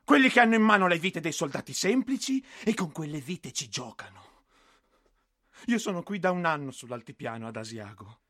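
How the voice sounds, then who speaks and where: An actor playing a frightened, exhausted and bitter infantry soldier in a theatrical, emotional radio drama style. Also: male voice, a little high, big pitch swings, a little fast, pushed and bright, heavily acted. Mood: bitter, indignant.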